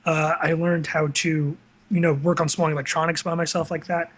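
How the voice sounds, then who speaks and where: A man with a fairly high, very bright and forceful voice hosts a casual talk show. He speaks in an enthusiastic, informal, conversational way. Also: flat delivery, moderate pace.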